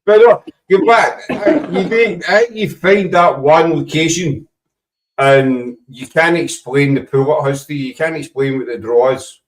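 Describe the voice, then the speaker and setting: Glaswegian male host, relaxed chatter, sits a little back from the mic. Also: a little high, measured pace, far from the mic, low-quality recording. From Scotland. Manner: chatty, laughs easily. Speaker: podcast host. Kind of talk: casual chat.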